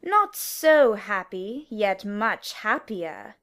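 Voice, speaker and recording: A woman's voice: medium pitch, big pitch swings, extremely slow, a bit bright, heavily acted. A woman playing an eager witch who declaims prophecies in a dramatic Shakespeare reading. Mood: prophetic, hailing. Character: eager witch hailing a future lord.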